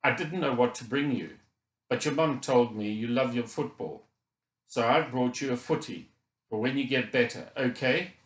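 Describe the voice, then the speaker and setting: A man's voice: mid-range voice, moderate pace, echoey room, close to an everyday voice. A man voicing a guilt-ridden middle-aged Scottish father in an audiobook, earnest and apologetic, with an unsteady voice. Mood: kind, apologetic. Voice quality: wobbly, unsteady. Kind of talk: audiobook character dialogue.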